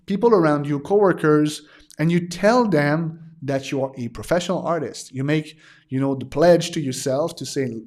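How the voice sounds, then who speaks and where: Man, thirties, slightly high voice, hoarse, lots of uh fillers, casual livestream teacher. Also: normal speed, a little mellow. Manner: hesitant, many "uh" fillers and restarts. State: losing his voice. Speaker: digital art teacher hosting a livestream. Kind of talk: casual livestream talk to viewers.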